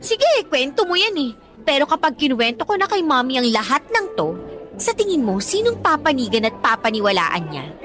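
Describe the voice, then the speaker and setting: A spoiled teenage schoolgirl with a Filipino accent in a radio drama. Her voice is very high and swings up and down with bratty, taunting insolence. Music plays underneath. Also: big pitch swings, brisk, fairly bright tone. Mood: taunting, scheming. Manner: bratty, taunting, mixes English into Tagalog. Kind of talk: Tagalog radio drama acting.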